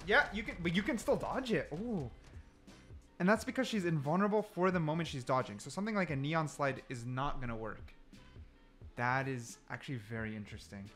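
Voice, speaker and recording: Higher-voiced man doing casual gameplay commentary. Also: a little fast, a bit bright, soft background music. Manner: explanatory, repeats points for emphasis. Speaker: gaming video creator.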